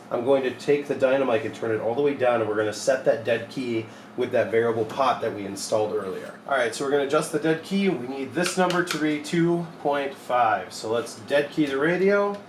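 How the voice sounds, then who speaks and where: A young man giving an informal hands-on repair tutorial, explaining step by step in a plain-spoken way. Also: mid-range voice. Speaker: repair technician demonstrating a modification. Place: small cluttered electronics repair workshop, indoors. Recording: echoey room with clear background noise.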